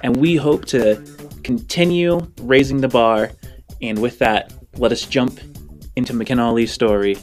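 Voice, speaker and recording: A man's voice: mid-range voice, measured pace, faint music behind. A man hosting a tabletop game show, talking casually and improvising descriptive narration in fragments as game master.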